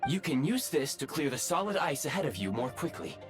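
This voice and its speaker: A man voicing a composed, courteous machine-like guide in a video game, speaking in formal, measured announcements. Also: a little high, very animated. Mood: precise, instructive. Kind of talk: scripted video game voice acting. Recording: game music under the voice.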